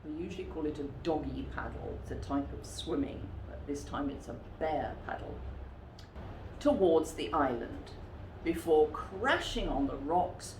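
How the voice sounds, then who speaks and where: A woman reads a children's story with theatrical emphasis. Her voice is fairly low and very animated, paced unhurriedly, in a room with audible echo. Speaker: storytime reader. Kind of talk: expressive children's story reading. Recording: roomy echo with faint background noise.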